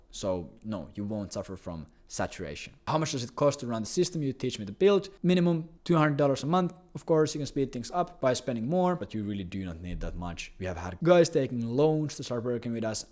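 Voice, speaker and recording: A young man with a Finnish accent, speaking English as a second language, talks straight at the listener in a confident sales pitch. He is blunt and swears casually as he answers doubts one after another. His voice sits at an ordinary middle pitch for a man. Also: darkish tone. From Finland. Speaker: business coach.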